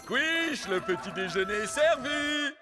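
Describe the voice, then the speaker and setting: Lively, hammy cartoon dad. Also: male voice, extremely high pitch, unhurried, over music. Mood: cheerful. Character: cheerful, fatherly adult amoeba dad. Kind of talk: French cartoon dub.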